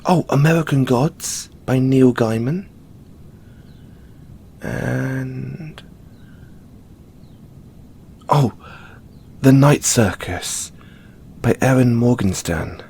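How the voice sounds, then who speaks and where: Man playing a slow-spoken, shy owl boy librarian. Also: medium pitch, creaky, slight background noise. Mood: polite, helpful. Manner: soft-spoken, hesitant, gently bashful. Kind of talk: audio roleplay addressed to the listener.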